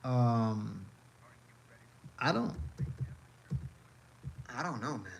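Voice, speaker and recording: A man's voice: medium pitch, lively intonation, extremely slow, vocal fry, slight background noise, low-quality recording. A man from the United States chatting casually on a live stream as he reacts to videos, rambling and repeating his phrases with many fillers.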